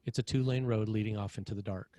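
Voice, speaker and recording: Guy with a lowish voice reading out screenplay action and scene headings. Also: fairly even intonation, fast, warm tone, dry recording. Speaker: screenplay narrator. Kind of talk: scripted narration of stage directions.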